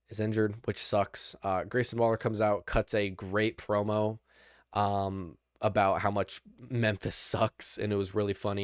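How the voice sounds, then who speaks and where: A young man hosting a podcast, recapping wrestling in casual chat, rambling and excitable, with lots of restarts. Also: lowish voice, clean tone, no room sound, clean studio sound. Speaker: podcast host.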